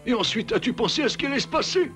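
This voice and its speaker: Old cartoon wolf grandpa: very high voice, firm yet kindly, frank and measured. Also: male voice, lively intonation, over music. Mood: firm, dismissive. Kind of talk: French cartoon dub acting.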